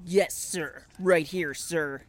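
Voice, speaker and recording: Meek, slow-talking young henchman. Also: fairly low pitch. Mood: nervous, meek. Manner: hesitant, meek, awkward. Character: timid, hesitant, polite young male henchman. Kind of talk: audio drama acting.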